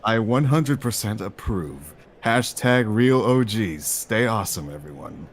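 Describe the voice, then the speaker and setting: A man playing a theatrical masked jackal villain, co-hosting a livestream in wry, halting banter. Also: fairly low pitch, expressive. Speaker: livestream co-host. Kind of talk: in-character improvised livestream banter. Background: background music.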